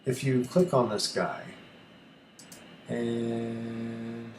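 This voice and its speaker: A man thinking aloud through a casual screen tutorial as a hobby radio host, halting and muttering to himself as he works. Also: mid-range voice, flat delivery. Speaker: amateur radio YouTuber, host. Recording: echoey room with faint background noise.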